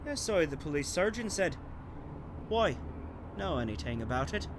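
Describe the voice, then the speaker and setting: An actor playing a chatty, inquisitive night-duty British police constable in a radio drama. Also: male voice, fairly high pitch, very animated, normal speed. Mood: matter-of-fact. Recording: faint street and traffic noise.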